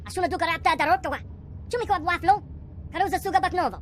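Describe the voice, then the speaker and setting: A woman's voice: extremely high pitch, rapid-fire, slight background noise. A woman voicing a small alien creature that chatters away in gibberish for a video game. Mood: agitated. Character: small, jabbering, high-voiced alien creature.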